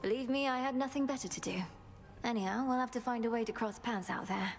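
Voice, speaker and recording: An actress playing a determined, guarded young Underworld princess in a video game cutscene, earnest, formal and self-possessed. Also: female voice, on the high side, fast talker, soft background music, close to an everyday voice.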